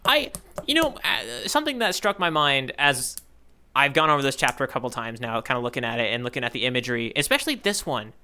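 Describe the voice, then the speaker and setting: Animated, bright-voiced man co-hosting a podcast. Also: mid-range voice, fast, clear, resonant tone. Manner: playful, animated, with spoken sound effects. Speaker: podcast co-host. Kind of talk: casual manga discussion podcast.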